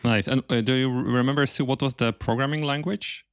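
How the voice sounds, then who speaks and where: A man hosting an interview, speaking in a halting, conversational way as he checks his understanding. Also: medium pitch, steady tone, no room sound. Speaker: podcast host, interviewer.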